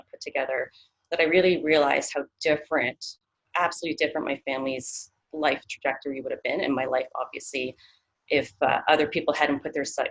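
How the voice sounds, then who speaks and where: Low-voiced woman psychologist, thoughtful. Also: slightly rough, poor audio. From Canada. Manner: thoughtful, many fillers and restarts. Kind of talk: interview guest, explaining.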